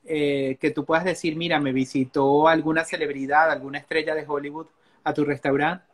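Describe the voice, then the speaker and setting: A man's voice: fairly high pitch, flat delivery, brisk. Middle-aged male interviewer, encouraging. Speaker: host. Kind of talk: casual live-stream interview. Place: living room indoors. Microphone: video call.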